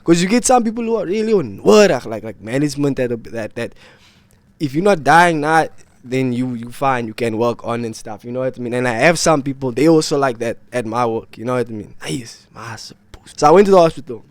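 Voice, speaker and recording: A man's voice: medium pitch, animated, brisk, dry recording. Young man, sick and worn out, casual slangy rambling, dry close sound. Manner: slangy, rambling, repeats himself. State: ill and worn out. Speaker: vlogger. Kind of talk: casual storytelling to camera.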